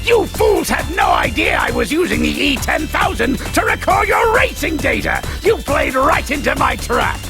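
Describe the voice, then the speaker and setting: Mad scientist game villain, pompous, gloating, booming, gravelly, wildly swooping delivery, music underneath. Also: male voice, very animated, moderate pace, full character voice. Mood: triumphant, taunting. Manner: booming, pompous, bellowed villain laughs and taunts. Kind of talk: theatrical video game cutscene acting.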